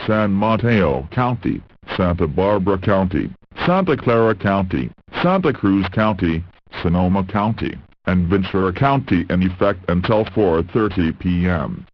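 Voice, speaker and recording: A man reading a scripted emergency alert as an announcer, in a formal, measured manner. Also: low-pitched, expressive, no echo. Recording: muffled lo-fi radio broadcast sound.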